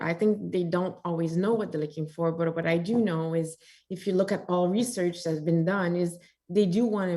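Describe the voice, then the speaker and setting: A woman's voice: on the low side, darkish tone. A woman moderating a panel discussion over a video call, conversational and reflective.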